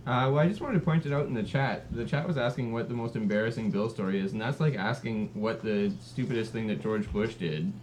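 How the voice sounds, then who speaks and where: A man's voice: lowish voice, brisk, few pauses, a little mellow. A man co-hosting a livestream with casual banter and dry wisecracks. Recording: clearly noisy room ambience.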